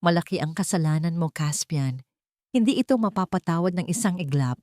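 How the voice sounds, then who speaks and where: A woman acts out a wronged Filipino mother in an audio drama, firm yet gentle. She speaks solemnly and softly in a fairly low voice, recorded dry. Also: lively intonation, dry recording, natural voice. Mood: stern. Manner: measured, solemn, soft-spoken.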